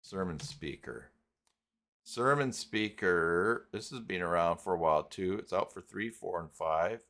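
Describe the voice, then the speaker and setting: Low-pitched man hosting a casual live stream. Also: expressive, a bit bright. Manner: halting, thinking aloud while clicking around. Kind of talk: casual live tutorial talk, reading chat.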